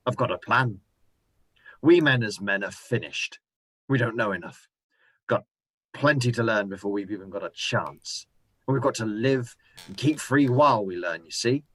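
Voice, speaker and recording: A hardened ex-soldier living rough after the invasion, played by a man. He talks in a brooding, grim way, circling back to his plan with growing fervor. The sound is dry and close, with no room around it. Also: fairly low pitch, a little slow, pauses often, fairly bright tone, no echo, poor audio. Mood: fervent. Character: hardened male ex-soldier survivor, scheming and grim. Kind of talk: amateur radio drama acting. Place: home room, recorded remotely.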